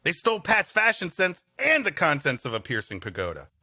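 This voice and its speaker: A man's voice: fairly high pitch, huge pitch swings, brisk, sharp tone, dry recording. A man co-hosting a comedy podcast, improvising wry quips and asides in casual banter. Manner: quipping, wry.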